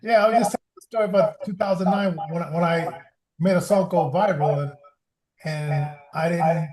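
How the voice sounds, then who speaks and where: A middle-aged or older man rambling casually as an online radio host, halting and repeating words, often chuckling. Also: fairly high pitch, darkish tone. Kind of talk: casual rambling livestream talk.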